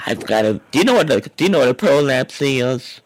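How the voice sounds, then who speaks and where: A man's voice: medium pitch, big pitch swings, a little fast, lightly acted, rough recording. A man putting on a crude, brash middle-aged woman prank caller who drawls and calls everyone honey. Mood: teasing. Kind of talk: prank call comedy.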